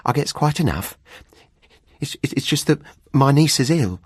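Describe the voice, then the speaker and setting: Rustic housekeeper woman, played by a male reader: superstitious, halting country talk, lifted pitch, storybook drama, very dry sound. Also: lively intonation, slightly rough, dry recording. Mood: evasive, apologetic. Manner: halting, ungrammatical country speech.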